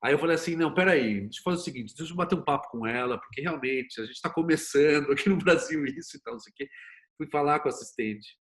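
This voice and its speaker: A man's voice: a little high, expressive, fast, fairly bright tone. Brazilian man talking informally. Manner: informal, colloquial, opinionated. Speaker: interview guest, series producer and screenwriter. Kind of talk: conversational interview talk with anecdotes.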